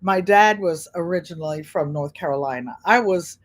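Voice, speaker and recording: A woman's voice: on the low side, moderate pace. Chatty woman with a Connecticut accent. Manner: rambling, frank, talks to viewers by name. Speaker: quilting channel host. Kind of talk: chatty livestream talk while sewing.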